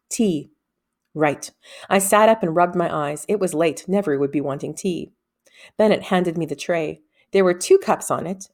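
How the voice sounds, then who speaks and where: A woman reading a children's fantasy novel aloud as an expressive first-person narrator. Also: fairly low pitch. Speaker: audiobook-style reader.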